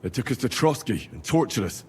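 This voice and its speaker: Husky plain-spoken young squire. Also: male voice, medium pitch, big pitch swings, brisk, a little mellow, in a large hall. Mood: sober. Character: plain-spoken young male medieval squire recounting his misadventures. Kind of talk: dramatic video game dialogue.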